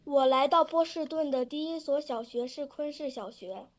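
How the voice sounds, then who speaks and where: High-voiced woman reading a statement. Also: normal speed. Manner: steady recited sentences. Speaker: student public speaker.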